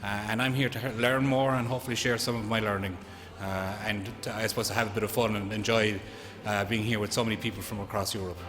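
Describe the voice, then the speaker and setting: A man from Ireland introducing himself in a relaxed way, with fillers and restarts. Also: on the low side, fast talker, few pauses, over music. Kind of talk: short self-introduction. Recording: long hall reverb.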